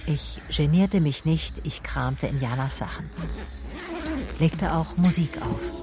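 A woman's voice: low-pitched, slow pace, music in the background. An actress playing a private detective who narrates her case in a radio drama, composed and probing. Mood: composed, reflective. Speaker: narrator and lead character.

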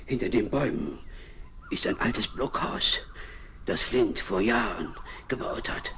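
An actor playing a ragged, jittery marooned castaway who stammers and halts, in a 1970s radio play. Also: male voice, fairly low pitch, lively intonation, creaky, a bit bright, heavily acted. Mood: hushed. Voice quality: stammering, halting. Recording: noisy vinyl record.

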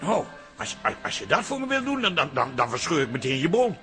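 A fed-up middle-aged husband in a radio comedy drama. His voice is high and forceful, with very lively swings of pitch. He complains with sarcasm. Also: brisk, faint music behind. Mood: weary complaining. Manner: exasperated, sarcastic. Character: exasperated, loud husband and pub keeper who loves football.

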